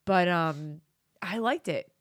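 A woman with a slightly low voice, stuffy from a cold, talking casually as a co-host. She hedges and pauses with lots of fillers, and her voice sounds close and dead. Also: lively intonation, dry recording. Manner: hesitant, with fillers. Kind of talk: casual chat.

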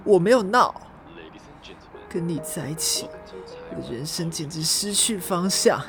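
A man's voice: on the high side, expressive, a little slow, fairly bright tone, soft background music. An actor playing a flustered, stubborn young man with no sense of direction in an audio drama, stammering when upset. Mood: indignant, stubborn.

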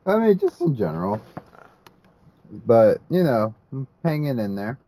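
A man's voice: medium pitch, very animated, measured pace, warm tone, slight background noise. A man co-hosting a casual podcast, talking hesitantly with many restarts and repeated words. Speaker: podcast co-host.

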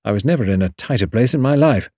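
A man's voice: mid-range voice, animated, quick pace, a little mellow, dry recording, studio quality, lightly acted. A man voicing a modest, eager young Englishman in an audiobook, frank and respectful in his manner. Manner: deferential, frank. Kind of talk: audiobook character dialogue.

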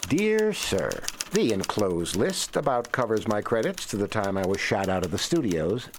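A man's voice: fairly low pitch, very animated, normal speed, background noise. An actor playing a wry, eloquent screenwriter who voices his own letters in a radio drama. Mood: wry, buoyant. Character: wry, literate male screenwriter writing letters. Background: typewriter and music.

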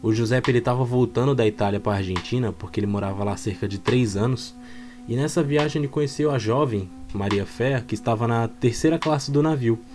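A man's voice: mid-range voice, brisk, darkish tone. Brazilian man narrating over music. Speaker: narrator of a true crime story. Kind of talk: storytelling narration. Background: background music.

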